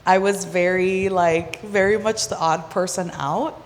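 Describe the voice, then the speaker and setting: Low-voiced woman from the Dominican Republic. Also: fairly even intonation, unhurried, big-room sound. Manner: earnest, many fillers. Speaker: guest. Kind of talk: radio interview. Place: community radio studio.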